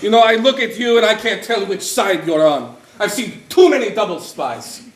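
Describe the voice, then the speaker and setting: Man acting a high-voiced, swaggering prisoner. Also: lively intonation, normal speed. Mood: suspicious, menacing. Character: wary, blunt, swaggering Italian male prisoner, opera lover. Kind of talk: stage play acting. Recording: roomy stage recording, faint noise.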